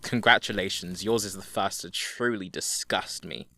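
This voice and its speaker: A man's voice: deep voice, moderate pace, sharp tone, no echo, exaggerated voice. A man playing a wry sardonic agent.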